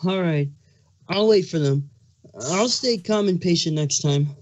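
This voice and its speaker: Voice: medium pitch, measured pace, a little mellow, close to an everyday voice. A performer voicing a hormonal, foul-mouthed male changeling king who is pregnant, in an exasperated, emphatic cartoon fan fiction reading. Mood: sheepish. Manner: ranting, exasperated, emphatic swearing.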